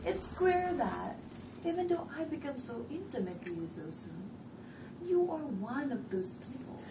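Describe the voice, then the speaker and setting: A young woman acting a talkative, mocking woman in a stage play, with theatrical, deliberate delivery. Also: on the high side, background noise. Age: young adult. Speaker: stage actor. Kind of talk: theatrical one-act play dialogue. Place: indoor stage set with living-room furniture. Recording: roomy stage, distant mic, music underneath.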